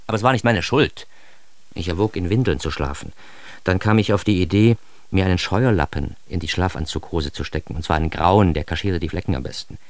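A man's voice: deep voice, a little fast, no room sound. An actor playing a grown son narrating his embarrassed adolescence in a radio drama, with an ironic, mock-formal, theatrically indignant delivery. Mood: ironic, self-mocking.

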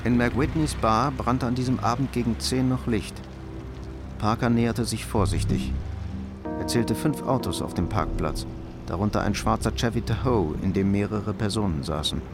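A man narrating a radio crime drama in a measured, factual storytelling manner. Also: on the low side, over loud music, natural voice.

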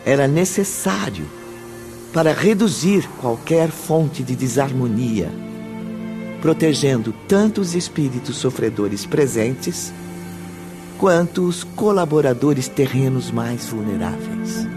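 A man's voice: mid-range voice, sing-song, slow, music in the background. A man narrating a radio drama from a literary script in formal language.

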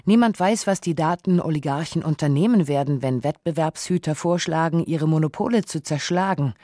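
Mellow, close-up documentary narrator. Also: mid-range voice, no room sound. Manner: measured, essayistic, rhetorical questions.

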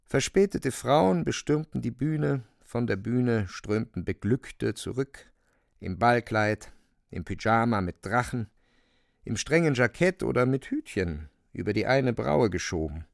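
A man's voice: mid-range voice, lively intonation, unhurried, vocal fry, dry recording, clean studio sound. A man narrating a radio drama in a scripted, theatrical storytelling style.

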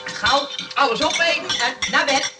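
A woman's voice: high voice, a little slow, distant mic, over loud music. An actress playing a kind but bossy nurse who runs a rest home, cheerful and motherly in a radio comedy. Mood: bossy scolding. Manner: cheerfully defensive, motherly scolding. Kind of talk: Dutch radio comedy drama acting.

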